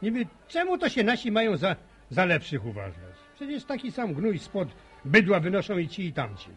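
A man's voice: sits high, wildly swooping pitch, moderate pace, fairly bright tone, soft background music, full character voice. An actor playing a blunt, jovial village man of some authority in a radio serial, with emphatic, folksy speech. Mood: dismissive. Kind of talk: Polish radio serial acting.

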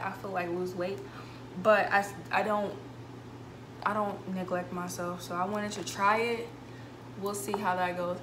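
A young woman talks casually at a medium pitch, like a student vlogger showing things to the camera. Her delivery is informal and conversational. The small kitchen rings with echo around her, and there is clear background noise. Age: young adult. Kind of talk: casual vlog chat, showing groceries. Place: small home kitchen, indoors. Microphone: camera a couple of metres away. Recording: echoey room with background noise.

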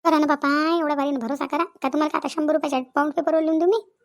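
A woman's voice: high-pitched, fairly even intonation, very fast. A woman playing an aggrieved middle-aged village mother-in-law in a cartoon comedy, complaining repetitively in a self-pitying way, with a Vidarbha Marathi accent. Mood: pleading. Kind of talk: cartoon family comedy dialogue.

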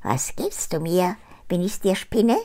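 An older woman reading a fairy tale aloud, voicing a small, sly male goblin who bargains for payment in a high, thin put-on voice. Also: lively intonation, measured pace, dark tone. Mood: sly bargaining.

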